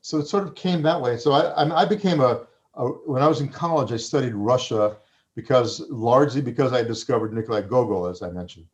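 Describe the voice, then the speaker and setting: A man whose first language was Farsi, an author being interviewed, speaking thoughtfully with frequent pauses and restarts. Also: mid-range voice, a little mellow, lo-fi sound. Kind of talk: reflective conversational interview.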